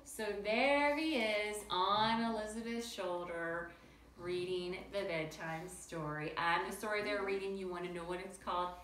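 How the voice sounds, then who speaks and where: A woman's voice: medium pitch, steady tone, a little slow, fairly bright tone. A woman teacher reading to children and explaining simply, chatty and full of questions for her young listeners. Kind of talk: picture-book read-aloud and simple lesson for children. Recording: roomy echo with faint background noise.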